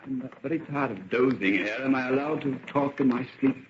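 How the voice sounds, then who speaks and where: A man's voice: mid-range voice, a little fast, slight background noise, close to an everyday voice. A man playing a wry, genteel, wordy young doctor in an old radio drama. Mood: wry, grumbling. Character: wry, grumbling, loyal young gentleman doctor. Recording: dull vintage radio recording.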